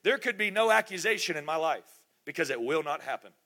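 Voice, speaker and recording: A man's voice: fairly high pitch, expressive, brisk, sharp tone. A middle-aged man preaching a sermon in a church, emphatic and repetitive, with rhetorical questions. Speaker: pastor.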